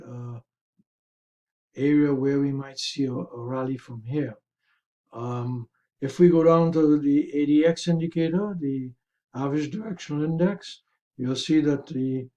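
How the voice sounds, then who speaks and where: Mellow man with a slightly raspy voice. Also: medium pitch, muffled recording. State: hoarse, throat-clearing. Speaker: host of a stock market review. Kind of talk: explanatory chart commentary.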